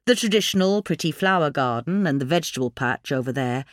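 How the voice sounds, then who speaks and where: Article narrator: woman, very animated intonation, scripted read, dry studio-like sound. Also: sits low, fast, dry recording.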